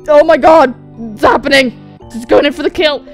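A young British woman doing game commentary and reading aloud, excitable and sweary, exclaiming often. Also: high-pitched, normal speed. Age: young adult. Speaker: gaming video host. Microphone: close studio mic. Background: music.

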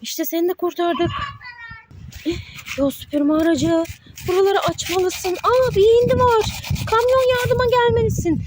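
A woman with an extremely high, childlike pitch narrates toy play as a mother would for her child. Her delivery is singsong and playful, full of little diminutives and pretend sounds. The recording is very noisy, with toys clattering. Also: fairly even intonation, a little slow.

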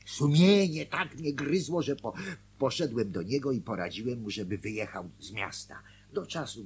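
An actor playing an anxious, guilt-ridden small-town husband in a radio play, hesitant and agitated in conversation. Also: male voice, fairly low pitch, husky, heavily acted. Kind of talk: Polish radio play acting.

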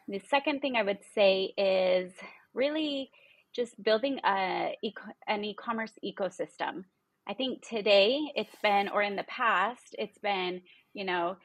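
Husky, creaky-voiced woman. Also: mid-range voice, no echo, rough recording. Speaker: interview guest, logistics partnerships executive. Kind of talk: conversational interview answers. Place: indoor room, video call. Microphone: video call, close framing.

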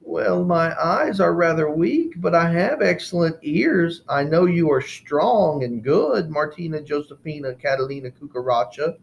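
Man voicing a sweet, soft mouse suitor, storybook. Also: on the high side, lively intonation, unhurried, creaky, few pauses, dull audio, slight background noise, pitched above the performer's own voice. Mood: sweet, gentle. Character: gentle shy little male mouse.

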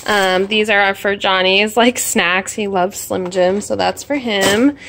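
A woman's voice: medium pitch, takes it slowly. Chatty young woman in a noisy kitchen. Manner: chatty, rambling, many fillers and self-deprecating laughs. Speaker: vlogger. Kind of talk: casual grocery haul chat. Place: home kitchen.

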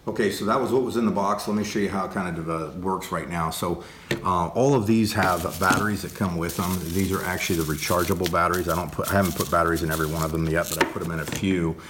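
A man's voice: low-pitched, steady tone, fast talker, noisy background. A middle-aged American man giving a casual, unscripted product review in a conversational, explanatory way. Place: open-plan dining room at home, indoors.

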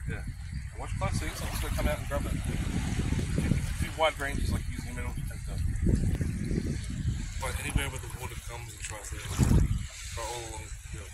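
A man's voice: mid-range voice, fairly even intonation, a little fast, pauses often. A man giving casual, hands-on fishing instructions to companions outdoors by the water. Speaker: fishing companion explaining a technique. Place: outdoors at a beach by the water at night. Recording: very noisy outdoor field recording. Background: loud outdoor water noise.

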